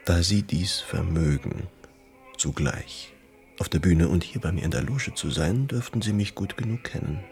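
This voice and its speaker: Hesitant, very deep-voiced brooding poet. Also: male voice, animated, darkish tone, faint music behind. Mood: pensive. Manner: hesitant, halting, pensive. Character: weary, sickly Romantic poet, entranced and brooding. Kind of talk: literary radio play acting.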